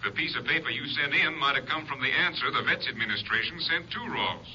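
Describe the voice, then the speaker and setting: A businesslike Ranger captain with a sharp, bright, matter-of-fact delivery. The recording has the muffled tone of old radio. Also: male voice, mid-range voice, brisk, a little hiss or hum. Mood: brisk. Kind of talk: 1950s radio drama acting. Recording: dull old broadcast.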